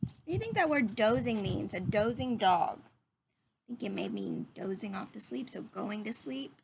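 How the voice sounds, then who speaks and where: A woman's voice: on the high side, lively intonation, normal speed, creaky, a little hiss or hum. A woman reading a picture book aloud to young children like a teacher, drawing out phrases and putting questions to her listeners.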